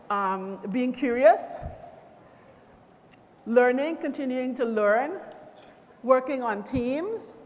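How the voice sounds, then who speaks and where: A woman from Trinidad and Tobago giving an encouraging keynote speech to students at a conference, speaking in a measured way. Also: on the high side, darkish tone. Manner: measured, encouraging, lists things slowly. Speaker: conference speaker from a power company. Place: large convention hall. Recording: long reverb of a large hall.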